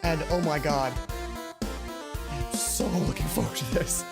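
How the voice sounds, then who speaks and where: Flustered, lower-voiced woman hosting a let's play. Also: a little slow. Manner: flustered, exasperated, often breaking off mid-phrase. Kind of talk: reading a visual novel aloud with casual asides. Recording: music playing behind the voice. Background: game music.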